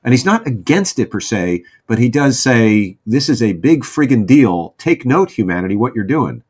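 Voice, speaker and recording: A man's voice: medium pitch, big pitch swings, moderate pace, a little mellow, slightly rough. A man talking casually as a podcast guest, with a wry, joking manner. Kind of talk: casual group chat.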